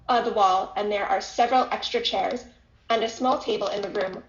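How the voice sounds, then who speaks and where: Woman narrator, echoey muffled recording. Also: medium pitch. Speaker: narrator reading stage directions. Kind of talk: scripted narration. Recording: echoey room, dull lo-fi audio.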